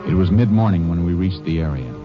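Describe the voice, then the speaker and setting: Actor as deep-voiced, steady Texas Ranger, vintage radio. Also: male voice, fast, music in the background, natural voice. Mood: matter-of-fact. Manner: terse, firm, matter-of-fact. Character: steady, determined male Texas Ranger on a murder case. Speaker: lead actor and narrator of a western radio drama. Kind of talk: 1950s radio drama acting and first-person narration. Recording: dull, lo-fi old-time radio recording.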